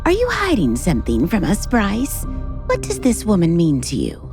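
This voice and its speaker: A wildly lilting older woman's voice, doting and nosy. She is a kindly grandmother figure in an audio drama, with clear music behind. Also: fairly low pitch, wildly swooping pitch, moderate pace. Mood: curious, suspicious.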